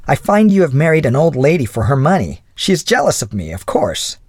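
A man's voice: on the high side, sing-song, a little fast, a little mellow, breathy edge, no echo, clean studio sound, voice pushed up. A man voicing an insolent, mocking young lady in an audiobook, with a satirical, taunting manner. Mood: offended, satirical. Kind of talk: audiobook character voice.

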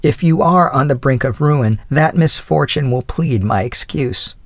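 A man's voice: mid-range voice, a little slow, dry recording, voice pushed up, natural voice. A man voicing a gracious, wealthy elderly widow who speaks in an earnest, formal and courteous way.

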